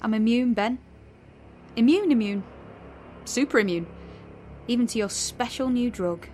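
A woman's voice: fairly high pitch, lively intonation, a little slow, darkish tone, slight background noise. A woman playing a sardonic, defiant teenage heroine in naturalistic audio drama dialogue. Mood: wry, defiant.

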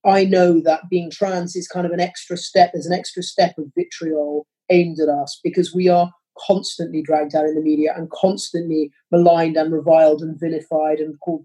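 A man answering interview questions with passionate, quick-flowing arguments. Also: on the high side, dark tone, low-quality recording. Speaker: interview guest, parent.

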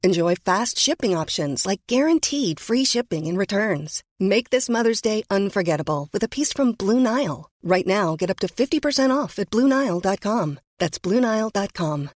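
A woman's voice: mid-range voice, animated, moderate pace, few pauses, no room sound. Dry, close woman's ad read. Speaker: advertisement voice-over. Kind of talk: scripted ad read.

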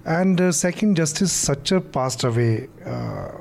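Mellow man, halting. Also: on the high side, lively intonation, a little hiss or hum. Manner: halting, many fillers. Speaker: panel guest. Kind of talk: casual recommendations on a podcast.

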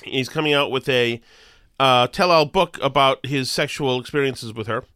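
A man's voice: medium pitch, moderate pace, sharp tone, smooth, no echo, studio quality. A man with a head cold and a nasal voice, co-hosting a casual chat and ranting with sarcastic emphasis. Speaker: podcast co-host.

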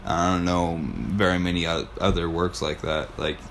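A man's voice: low-pitched, normal speed, gravelly, fairly bright tone, slight background noise. A man hosting a casual podcast, talking in a rambling, enthusiastic, sweary way. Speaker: podcast host.

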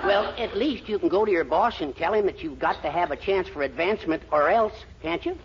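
An American actor playing a meek, henpecked middle-aged husband, mild and placating, in a 1940s radio comedy. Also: male voice, sits high, very animated, brisk. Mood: kindly, coaxing. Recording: noisy, dull 1940s radio recording.

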